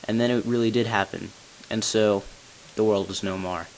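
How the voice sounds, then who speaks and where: A hissy home recording carries the low voice of a tall, solemn bell ringer acted by an amateur man. He narrates long-kept secrets from a video game story. His delivery is grave and deliberate. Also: fairly even intonation, lightly acted, rough recording. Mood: solemn, explanatory. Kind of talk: scripted character narration, amateur game voice-over.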